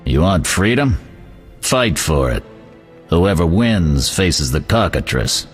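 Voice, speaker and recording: An actor playing a gruff, scornful jail captain in a video game, giving orders. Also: male voice, deep voice, very animated, slow pace, faint music behind, exaggerated voice. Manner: commanding, scornful. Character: gruff, bossy adult male prison guard captain.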